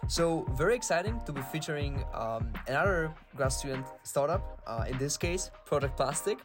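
A man's voice: a little high, lively intonation, normal speed, over music. A man hosting a podcast interview, upbeat and enthusiastic, with lots of short affirmations. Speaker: podcast host.

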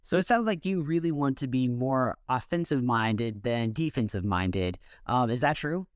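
A man's voice: mid-range voice, expressive, normal speed, no room sound, studio quality. A man speaking as a sports show host, asking interview questions and linking segments.

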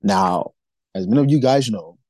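A man from Nigeria co-hosting a faith-based podcast, talking in an animated, preachy way and acting out the voices he quotes. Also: on the low side, normal speed, dry recording. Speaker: podcast co-host.

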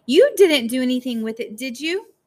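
A woman's voice: high-pitched, lively intonation, normal speed, pitched above the performer's own voice. A woman voicing a despairing young mother in an audiobook, emotional and fraying. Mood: frantic.

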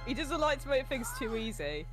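A woman's voice: on the high side, big pitch swings, a little fast, over music. A woman co-hosting a reaction show, with casual, teasing commentary on a film.

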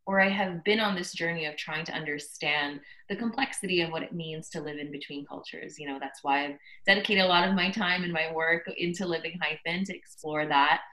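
A woman's voice: low voice, fairly quick, few pauses, sharp tone, rough recording. A woman with a Canadian accent, answering as a podcast guest, reflecting and thinking aloud about personal experience. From Canada, Toronto area. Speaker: podcast guest, social impact worker.

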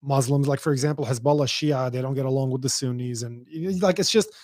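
A man's voice: medium pitch, fast, a little mellow, no echo, clean studio sound. A man from Lebanon whose first language is Arabic, explaining things in casual podcast conversation, repeating himself and swearing freely. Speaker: podcast host.

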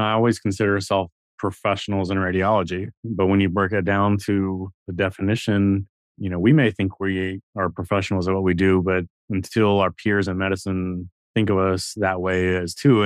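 A man's voice: sits low, no room sound. A man in his thirties, a guest radiologist assistant, making long earnest points in a podcast conversation. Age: 30s.

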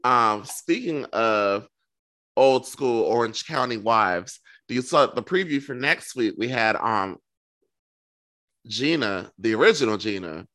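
A man's voice: medium pitch, expressive, measured pace, bright, forceful tone. A man co-hosting a casual, gossipy chat show, talking in sassy, campy slang. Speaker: podcast co-host. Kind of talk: casual gossipy chat about reality television.

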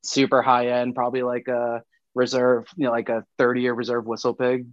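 A man's voice: medium pitch, monotone, moderate pace, dry recording, dull audio. A man in his thirties, a band member, chatting loosely in an interview with lots of fillers and laughter. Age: 30s. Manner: rambling, many fillers, laughs often. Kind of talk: casual interview chat.

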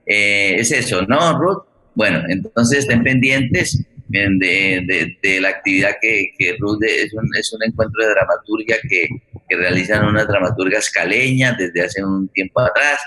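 A man's voice: lowish voice, bright, forceful tone, rough recording. A man with a Colombian accent hosting an unscripted live online show, talking at length and often repeating words as he feels for the next one. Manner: wordy, halting, repeats words. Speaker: host.

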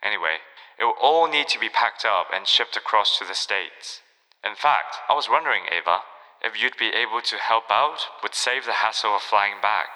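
A chatty collector in an audio drama. His voice is very bright and sharp, ringing in a long reverb. He is breezy and wordy, friendly yet politely patronising. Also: fairly low pitch, expressive, normal speed. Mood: matter-of-fact. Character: genial, chatty male collector, apologetic but firm friend.

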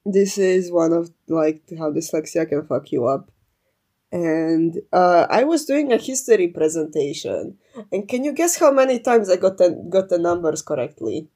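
Podcast co-host: young woman, slightly low voice, loose rambling talk, swears freely. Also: expressive, normal speed, dark tone. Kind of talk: casual unscripted chat.

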